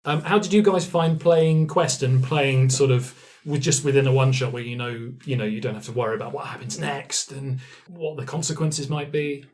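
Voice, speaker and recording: A man's voice: medium pitch, normal speed, hardly any pauses, a little mellow. A man chatting casually as the game master in a group discussion, thinking aloud as he goes. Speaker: game master in a roleplay podcast.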